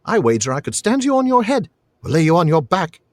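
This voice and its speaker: A man's voice: on the high side, big pitch swings, fairly quick, no room sound, pitched above the performer's own voice. A man reading a novel aloud, voicing a proud, eager, inquisitive ten-year-old boy. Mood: amazed, challenging. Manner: boastful, inquisitive.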